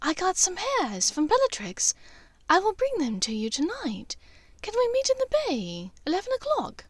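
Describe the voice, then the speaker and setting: A woman's voice: very high voice, huge pitch swings, normal speed, dry recording, pitched above the performer's own voice, heavily acted. A woman voicing a careful young wizard disguised as his mother, speaking in a guarded, delicate way in a story reading. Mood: hushed, urgent.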